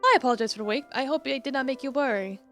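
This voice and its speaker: Woman voicing an elegant, bright-voiced formal mermaid. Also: high-pitched, racing, soft background music. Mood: apologetic. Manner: formal, stately diction. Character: elegant, sincere, formal young female mermaid.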